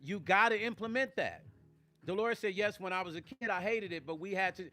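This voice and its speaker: A man in his fifties hosting a casual livestream, reading viewer comments and talking straight to his audience in an animated, preachy way. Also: high-pitched, fairly quick. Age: 50s. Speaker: vlog host reading live chat.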